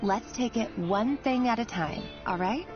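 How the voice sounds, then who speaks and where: A woman's voice: on the high side, measured pace, lightly acted. A woman voicing a tender, playful young sweetheart in a video game, coaxing and affectionate. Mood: playful, teasing. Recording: slightly dull game audio with music.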